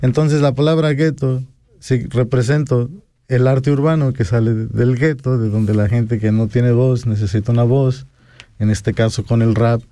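Dark-toned Mexican man, close and dry. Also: mid-range voice, steady tone, moderate pace, no echo. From Pachuca, Mexico. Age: 40s. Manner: often ends phrases with a tag question. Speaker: guest rapper. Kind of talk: casual interview talk.

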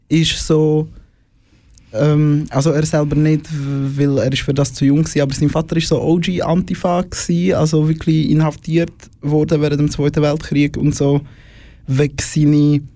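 A man's voice: fairly high pitch, mellow, dry recording. A young man from the former Eastern Bloc, a guest in a casual studio conversation, hesitant and full of fillers, laughing often.

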